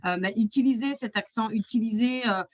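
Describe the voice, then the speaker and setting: A woman's voice: fairly high pitch, a little fast, no echo. Hesitant French woman on a dry lo-fi line. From France. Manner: hesitant, many fillers. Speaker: export advisor. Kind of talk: webinar panel talk.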